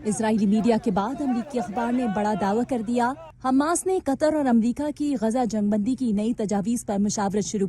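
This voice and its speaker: A woman with a Pakistani accent narrates a scripted news report. Her voice sits a little high yet has a dark, rounded tone. The delivery is formal and headline-like. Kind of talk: scripted broadcast news voice-over.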